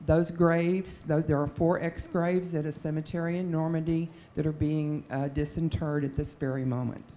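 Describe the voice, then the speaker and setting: A woman's voice: low-pitched, fairly even intonation, normal speed, mellow, a little hiss or hum. A woman, cousin of a missing soldier, giving earnest, encouraging remarks at a family meeting. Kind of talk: open-mic remarks.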